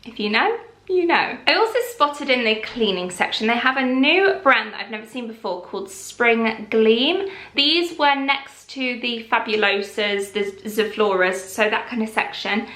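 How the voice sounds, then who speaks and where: A young woman chatting casually through a shopping haul, calm and rambling. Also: a little high, moderate pace, echoey room. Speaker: vlogger.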